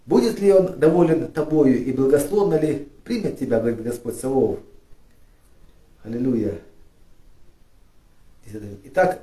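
Middle-aged man, pastor, sermon, halting delivery, mellow tone, distant mic. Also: on the high side. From Ukraine. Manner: halting, many fillers and restarts, rising emphatic when reading scripture. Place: church studio with flags and lit cross. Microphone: far camera. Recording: echoey room, voice from a distance.

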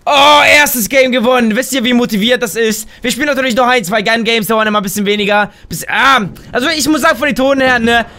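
A young man commentating his own gameplay on a headset mic, excitable and quick to shout, a little hoarse and tired. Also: extremely high pitch. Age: young adult. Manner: excitable, exclaims and shouts at the game. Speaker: gaming YouTuber. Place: home gaming room, indoors.

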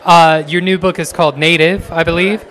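A man's voice: a little high, little pitch movement, moderate pace, fairly bright tone. A man in his thirties interviewing an artist in a gallery, framing questions hesitantly with many fillers, speaking into a handheld mic. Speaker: arts interviewer.

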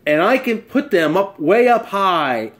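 A man's voice: high voice, very animated, unhurried, fairly bright tone, heavily acted. A man voicing an eager spotted animal in a storybook, boastful and pleading as it shows off. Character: eager spotted animal showing off magic tricks. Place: small bright room at home.

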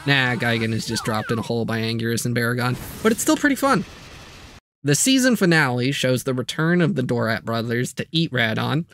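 A man's voice: mid-range voice, sing-song, fairly quick, fairly bright tone, slight background noise. A man hosting a video channel, narrating a scripted overview with light, self-aware asides.

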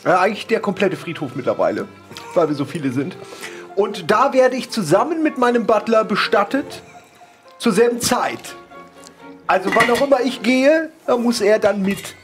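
A man's voice: high-pitched, expressive, moderate pace, music in the background. A man playing a pompous, callous old aristocrat in his late seventies. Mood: haughty.

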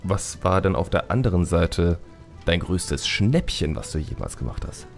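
A man's voice: sits low, very animated, normal speed, faint music behind. A man hosting a podcast in casual chat, with a jokey, colloquial way of talking. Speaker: podcast host.

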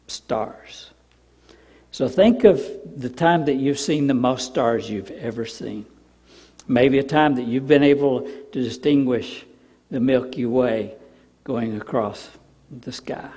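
Older man, pastor, sermon storyteller, mid pitch, hall reverb. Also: slow pace, husky. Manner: storytelling, drops to soft emphatic repeats. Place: church sanctuary stage. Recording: long reverb of a church hall.

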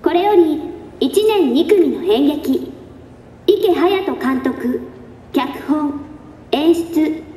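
Echoey, high-pitched girl announcer. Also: animated, drawn-out words, pauses often, mellow, exaggerated voice. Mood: formal. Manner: formal, courteous. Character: polite young female announcer at school play. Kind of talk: scripted public announcement. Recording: echoey hall announcement, faint noise.

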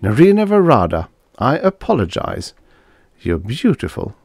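A man's voice: mid-range voice, huge pitch swings, unhurried, creaky, a little mellow, no echo, clean studio sound, pitched above the performer's own voice, exaggerated voice. A man playing a bitter, sardonic American scientist in a story, with a blunt, wry, emphatic manner. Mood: amused, courteous.

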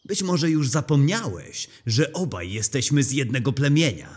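A man's voice: mid-range voice, expressive, normal speed, slightly rough. A man playing a mouthy, defiant forest sprite warrior with a blunt, indignant manner in a fantasy audio drama. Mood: blunt objecting.

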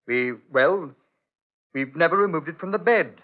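An actor playing a troubled, evasive gentleman doctor in a 1940s-style radio horror drama, courteous and genial but guarded. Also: on the high side, animated, normal speed, natural voice. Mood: evasive, uneasy. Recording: thin, phone-like old radio recording.

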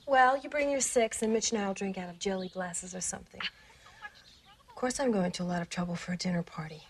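An actress plays a middle-aged suburban woman in a film, genteel and breezy even when the subject is grisly. Her menace is understated and matter-of-fact, delivered at a medium pitch. There is faint noise in the recording. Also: fast talker, close to an everyday voice. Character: genteel, cheerful suburban woman calmly planning to cook a boy.

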